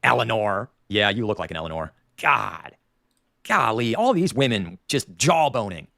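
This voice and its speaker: A man's voice: fairly low pitch, big pitch swings, normal speed, vocal fry, bright, forceful tone, dry recording, studio quality. A man with a nasal voice and a Dayton, Ohio Appalachian accent, hosting a livestream and ranting in opinionated, digressive commentary. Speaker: livestream host.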